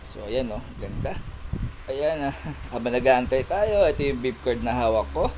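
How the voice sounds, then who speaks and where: A man's voice: mid-range voice, lively intonation, normal speed. Young man, casual vlog voice, chatty and polite, phone mic, noisy cafe hum behind. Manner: chatty, polite tour-guide narration. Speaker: vlogger. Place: indoor coffee shop. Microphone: handheld phone camera. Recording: noisy cafe with air conditioning.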